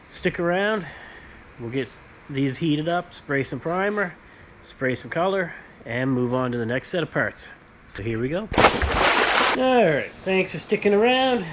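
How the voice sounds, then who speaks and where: A man hosting a casual workshop vlog, explaining hands-on work step by step in plain words. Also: medium pitch. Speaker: host, powder coating tradesman. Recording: noisy workshop background.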